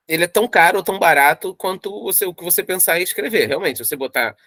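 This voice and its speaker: Earnest man with a fairly high voice. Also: bright, forceful tone, no room sound, clean studio sound. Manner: earnest and encouraging, repeats himself. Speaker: writer and creator of audio dramas. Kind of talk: interview advice.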